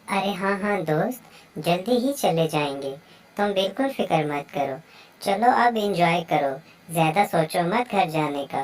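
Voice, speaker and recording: A woman's voice: fairly low pitch, expressive, a little slow. A woman voicing an eager, playful young bird child in a children's moral story cartoon. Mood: excited.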